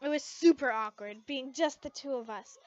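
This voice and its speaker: A woman giving an expressive amateur narration of fan fiction, stumbling over words and rereading as she goes. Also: sits high, normal speed, dry recording, dull audio, faint music behind.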